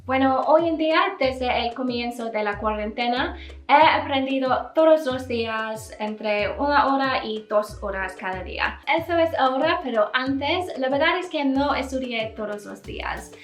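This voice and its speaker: A woman from England with an English accent, speaking her second language in a casual Q&A vlog, chatty and quick to laugh, with small learner slips. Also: high-pitched, lively intonation, echoey room.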